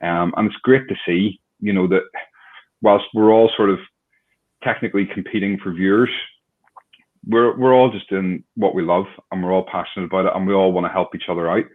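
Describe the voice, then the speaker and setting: A deep-voiced man hosts an online fan chat, friendly and a bit rambling. His voice comes through a thin, phone-like line. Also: steady tone, fairly quick. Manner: friendly, rambling, restarts often. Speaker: host. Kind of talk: casual chat.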